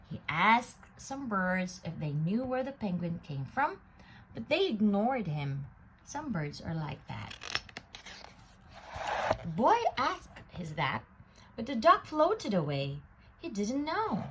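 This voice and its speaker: A woman reading a children's picture book aloud in an expressive, deliberate way, drawing out words for effect. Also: medium pitch, a little hiss or hum, rough recording. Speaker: storybook reader.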